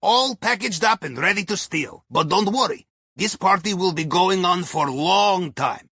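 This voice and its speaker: A man's voice: high voice, expressive, normal speed, gravelly, pushed and bright, husky, no room sound, clean studio sound, full character voice. A man playing an eccentric, cheerful Russian submariner in a video game, guiding a heist with wry, conspiratorial chatter and quaint non-native phrasing. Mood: musing, playful. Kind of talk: video game character voice lines, mission guidance over comms.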